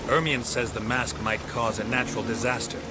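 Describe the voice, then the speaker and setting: An actor playing a gruff, wary middle-aged monster hunter in a video game, speaking tersely and dryly. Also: male voice, medium pitch, steady tone, a little fast, lightly acted. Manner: terse, dry. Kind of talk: video game cutscene acting. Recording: loud storm noise behind.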